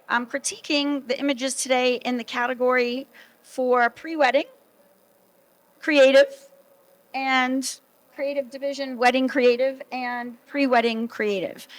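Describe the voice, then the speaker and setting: A woman's voice: sits high, fairly bright tone. A middle-aged woman hosting a panel at an event, presenting in a casual, conversational way. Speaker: host introducing judges. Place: booth at a trade show.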